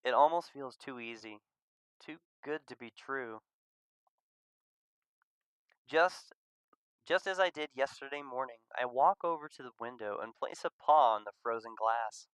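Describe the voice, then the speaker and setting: A man reading a visual novel aloud as expressive scripted narration. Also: medium pitch, a little slow, pauses often, smooth, no echo.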